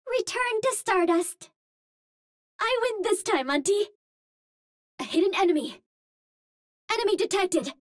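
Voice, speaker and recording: An actress playing a cute, earnest young Martian heroine in a video game, speaking politely in precise, formal phrases. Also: female voice, very high-pitched, measured pace, pauses often. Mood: upbeat, apologetic. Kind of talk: video game character voice lines.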